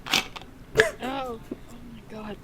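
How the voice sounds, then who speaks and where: A woman's voice: very high-pitched, sing-song, drawn-out words, gravelly. A woman reading aloud casually and comedically, breaking off for sarcastic, sweary commentary and drawling words for emphasis. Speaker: co-host of a comedic dramatic fanfiction reading. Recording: unevenly balanced amateur audio, crowd-like background noise.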